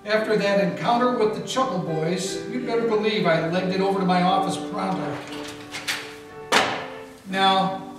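An actor playing a wisecracking, hard-boiled male private eye who narrates a live 1940s-style radio play. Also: high-pitched. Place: historic mansion hall, indoors, live audience. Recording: echoey room from far off with music.

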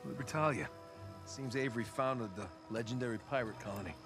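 A man's voice: medium pitch, natural voice. An actor playing a stubborn, earnest American treasure hunter in his thirties, in cinematic video game dialogue. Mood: confident, eager. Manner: halting, pleading when cornered. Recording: music under the dialogue.

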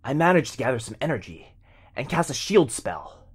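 A man acting as a devoted young boyfriend in an ASMR roleplay, tender, intimate and unhurried yet firm. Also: mid-range voice, very animated, breathy edge, pitched above the performer's own voice. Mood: calm resolve.

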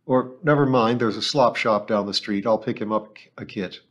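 A male audiobook narrator voicing a gruff, blunt middle-aged ship captain. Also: fairly low pitch, normal speed, dull audio, natural voice. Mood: brusque.

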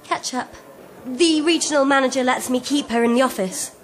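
Flustered, high-voiced woman as an anxious boss. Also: moderate pace, close to an everyday voice. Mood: flustered, awkward. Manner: nervous, evasive chatter. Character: flustered, awkward, anxious female office boss. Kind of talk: comic audio drama acting.

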